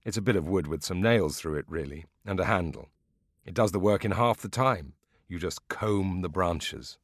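A man's voice: low voice, moderate pace, no room sound, studio quality. A man voicing a genial, playful Greek villager explaining country lore in a folksy audiobook story. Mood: explaining, keen.

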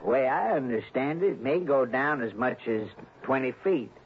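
An American actor playing a folksy, genial, crafty lake lodge keeper in a 1960s radio drama. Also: male voice, medium pitch, normal speed, lightly acted. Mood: feigned innocence. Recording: muffled, noisy old radio recording.

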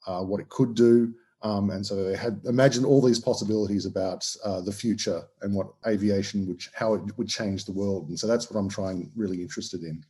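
Australian male historian, dark mellow voice, hesitant and full of fillers. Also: fairly low pitch, slightly rough. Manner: hesitant, many ums and restarts. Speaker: historian guest. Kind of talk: explaining in an interview.

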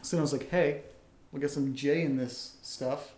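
A man's voice: a little high, steady tone, moderate pace, a little mellow. Man chatting casually in an echoey room. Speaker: podcast host and voice actor. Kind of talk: casual chat, Q&A. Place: indoor room, live-streamed session.

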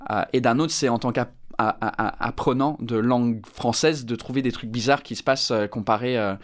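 A man's voice: medium pitch, steady tone, a little fast. A man in his thirties from England, a stand-up comedian speaking French with an English accent, answering interview questions with many fillers and restarts.

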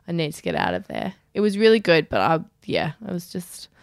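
A young Australian woman with a croaky voice, joking casually as a podcast co-host. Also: fairly low pitch, big pitch swings, a little fast, dry recording, studio quality. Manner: playful, joking.

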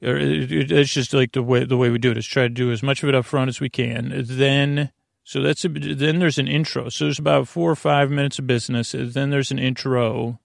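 A man hosting a bedtime podcast in a rambling, halting monologue, circling back and losing his thread, with a creaky, gravelly voice. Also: medium pitch, steady tone, no room sound, studio quality. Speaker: podcast host. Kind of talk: rambling bedtime monologue to help listeners sleep.

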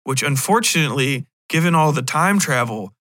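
A American man hosts a loose, rambling solo podcast. His voice is bright, sharp and a little high for a man, with blunt, sweary, irreverent delivery. The sound is very dry, with hardly any room on it. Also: animated, moderate pace, dry recording. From United States. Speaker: podcast host.

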